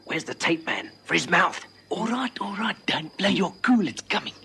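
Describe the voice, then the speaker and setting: A cocky burglar in a radio play. His highish voice is forceful and lively, on a slightly noisy old recording. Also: moderate pace, exaggerated voice. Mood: tense, cocky. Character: cocky slang-talking male safe-cracking crook. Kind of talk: radio drama acting.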